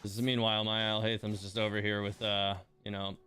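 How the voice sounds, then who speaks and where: A man's voice: on the low side, flat delivery, brisk, bright, forceful tone. A man hosting a game livestream, chatting casually in a rambling, self-deprecating way. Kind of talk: casual livestream chat while playing a game.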